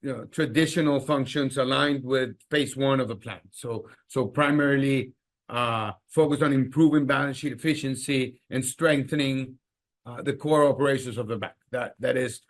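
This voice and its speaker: Halting male executive explaining results. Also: medium pitch. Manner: halting, repeats words. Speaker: company executive. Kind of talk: earnings call answers, explanatory.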